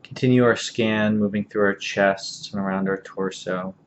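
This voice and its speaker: A man leading a guided meditation as an instructor, speaking calmly and gently with long, unhurried pauses. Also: lowish voice. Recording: dull lo-fi audio, faint background noise.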